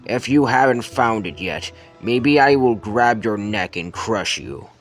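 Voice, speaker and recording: A man's voice: lowish voice, lively intonation, unhurried, soft background music. A man voicing a power-hungry, threatening villain boss with a commanding manner in an amateur cartoon dub. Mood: menacing.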